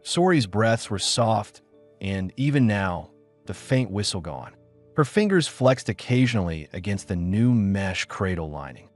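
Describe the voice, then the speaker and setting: A man's voice: lowish voice, animated, a little slow. A man narrating a scripted story as an audiobook, with music behind him.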